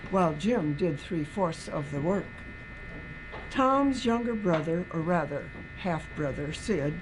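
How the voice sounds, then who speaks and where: A woman giving a dramatic reading of a literary story, with deliberate, emphatic phrasing. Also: lowish voice, noisy background.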